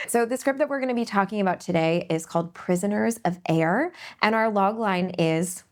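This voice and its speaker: A woman hosting a table read, chatting briskly and then reading stage directions aloud. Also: mid-range voice, animated. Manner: brisk, upbeat, laughs easily. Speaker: host and script narrator.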